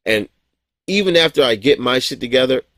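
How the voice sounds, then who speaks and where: A man's voice: medium pitch, expressive, brisk, a bit bright, no echo, studio quality. Blunt, profane man in his forties. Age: 40s. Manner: blunt, profane, frequent chuckles and restarts. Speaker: vlogger. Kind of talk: casual rambling monologue.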